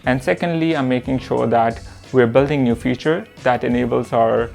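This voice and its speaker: Gravelly-voiced young man from Pakistan. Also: medium pitch, darkish tone, soft background music. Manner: earnest, measured, with frequent "uh" pauses. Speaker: product manager and course instructor. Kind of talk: reflective self-introduction to camera.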